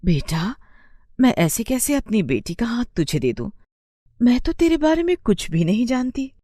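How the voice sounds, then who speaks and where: A woman puts on a poor village mother in a storybook tale, middle-aged, cautious and caring. The voice is mellow and swings widely as it admonishes. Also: a little high, big pitch swings, normal speed, no echo, studio quality, voice pushed up. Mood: worried, protective. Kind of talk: acted dialogue for moral story.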